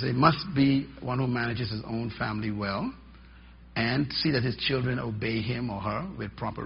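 A middle-aged man preaching a teaching sermon, emphatic and folksy, swinging between loud stresses and quiet drops. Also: medium pitch, vocal fry, a little hiss or hum. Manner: emphatic, rising and dropping for effect, folksy asides. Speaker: pastor. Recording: dull lo-fi sermon recording.

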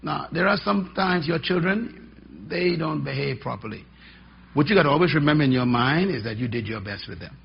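A middle-aged man preaching a teaching sermon, emphatic and folksy, swinging between loud stresses and quiet drops. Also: a little high, expressive, brisk, vocal fry, breathy edge. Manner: emphatic, rising and dropping for effect, folksy asides. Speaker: pastor. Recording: dull lo-fi sermon recording.

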